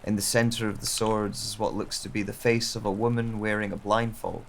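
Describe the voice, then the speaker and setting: A man playing a brooding, reflective wanderer who narrates a solitary journey in a measured, solemn way for an audio drama. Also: on the low side, level tone, slight background noise, natural voice.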